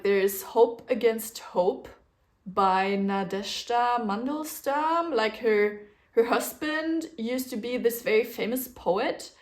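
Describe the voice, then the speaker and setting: Unhurried German-accented woman podcaster. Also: fairly high pitch. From German-speaking region. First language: a German dialect. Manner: chatty, rambling, with fillers and small laughs. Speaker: podcast host. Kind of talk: casual solo podcast chat.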